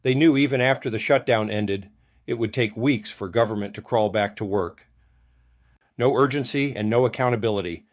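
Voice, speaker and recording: A man with a medium-pitched voice delivers a scripted political monologue as a show host. His delivery is folksy and emphatic, built around rhetorical questions. The sound is slightly dull, with a limited band.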